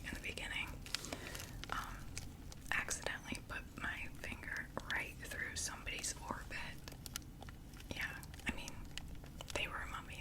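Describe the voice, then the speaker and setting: A woman in an ASMR roleplay voicing a chatty undead female vampire makeup saleswoman, whispering softly and reassuringly. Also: fairly high pitch, poor audio. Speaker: ASMR performer.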